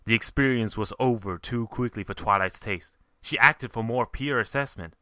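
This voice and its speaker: A man narrating a story from a script in a measured, theatrical way. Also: medium pitch, pushed and bright, smooth, no echo, clean studio sound. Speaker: fan fiction reader, narrator.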